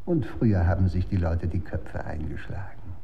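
Coolly morbid weapons expert, dull noisy recording. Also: male voice, deep voice, normal speed. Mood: smug cynicism. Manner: lecturing, savours technical terms, cynical. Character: man coolly relishing chemical weapons, pedantic morbid expert. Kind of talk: German radio drama acting.

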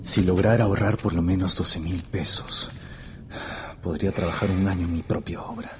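An actor playing a polite, anxious yet self-assured young historian in a radio drama. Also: male voice, deep voice, fairly even intonation, moderate pace, background noise, lightly acted.

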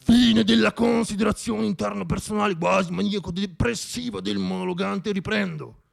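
A man in his thirties performing a comic theatrical monologue, shifting between hushed asides and declamation. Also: sits high, fairly even intonation, normal speed, vocal fry. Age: 30s. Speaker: stage monologist.

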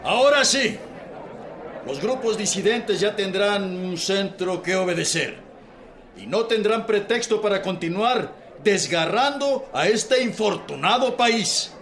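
An actor playing the orator who opens a revolutionary convention, speaking in a slow, declamatory way in a radio drama. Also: male voice, high-pitched, fairly bright tone, slight background noise, exaggerated voice.

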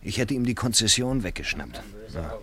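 A man's voice: lowish voice, very animated, moderate pace, fairly bright tone. A man acting a wry, chatty small-town petrol station keeper in a German radio play, folksy and colloquial. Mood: sardonic. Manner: folksy, colloquial, deferential to the doctor.

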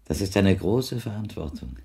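Old blind widower in a radio drama, raspy low voice, super polite, flowery, wistful. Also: male voice, big pitch swings, warm tone, heavily acted. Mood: polite, effusive. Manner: florid, ceremonious, halting speech. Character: blind widowed older man, courteous, wistful, insinuating visitor. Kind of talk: German radio play acting.

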